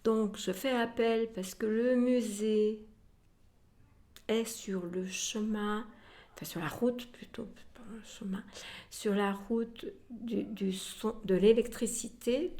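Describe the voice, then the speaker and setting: A woman's voice: mid-range voice, fairly even intonation, takes it slowly, dark tone. A woman speaking spontaneously as an interviewed museum representative, often repeating words and trailing into asides.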